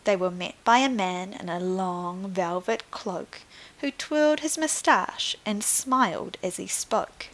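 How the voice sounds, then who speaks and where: A woman reading a picture book to young children like a primary teacher, in an expressive, deliberate way. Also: mid-range voice. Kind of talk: scripted storybook narration for young children.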